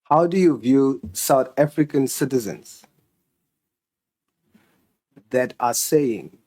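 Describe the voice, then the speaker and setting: A man's voice: mid-range voice, takes it slowly, warm tone. A man questioning officials in a parliamentary committee, pressing and insistent.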